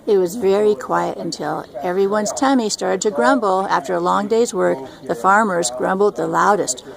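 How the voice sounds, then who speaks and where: An older woman with a creaky voice reading a children's storybook aloud. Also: medium pitch, normal speed, a crowd in the background. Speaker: storybook reader, grandmother. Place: outdoors at a scenic lake.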